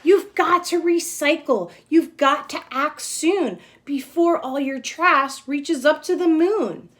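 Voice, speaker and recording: A woman's voice: high-pitched, takes it slowly, pitched above the performer's own voice. A woman voicing a heroic male caped recycling crusader from a storybook, rallying townsfolk in emphatic, declamatory rhyme. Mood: urgent, rallying. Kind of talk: storybook read-aloud.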